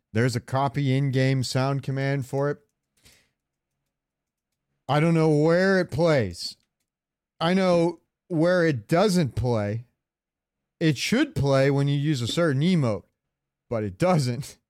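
A man co-hosting a casual gaming podcast. His pitch is average and he talks in an informal, joking way, recorded dry with little room sound. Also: slow pace, pauses often, darkish tone, smooth, no room sound. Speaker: podcast co-host. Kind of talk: casual gaming chat, ranking voice lines.

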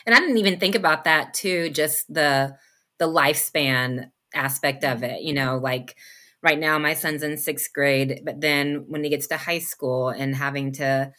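A reflective woman host and parent who thinks aloud with plenty of fillers. Her voice is very low in pitch. It still has a bright, forceful edge. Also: measured pace. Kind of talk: conversational interview.

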